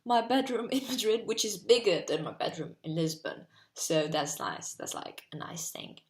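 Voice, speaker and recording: A woman's voice: mid-range voice, lively intonation, moderate pace, reverberant. A 19-year-old woman from Portugal with a Portuguese accent, chatting casually and rambling to camera from her bedroom, full of "like". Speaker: vlogger.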